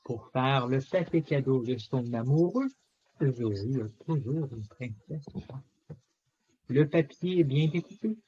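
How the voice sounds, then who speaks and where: A man reading a children's story aloud in an expressive, theatrical way with emphatic phrasing. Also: mid-range voice, takes it slowly, highs cut off, a little hiss or hum. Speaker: storybook reader.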